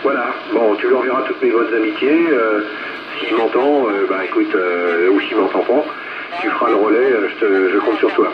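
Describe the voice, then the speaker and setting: A man's voice: lowish voice, moderate pace. A man chatting casually as a radio operator over a two-way radio transmission. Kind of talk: casual radio contact chat. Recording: muffled, very noisy radio transmission.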